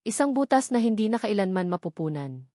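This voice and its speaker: A woman with a Filipino accent reads a dramatic story in a measured, solemn way, in short phrases. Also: mid-range voice, sing-song, dark tone, no echo, studio quality. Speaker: story narrator. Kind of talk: dramatic scripted narration.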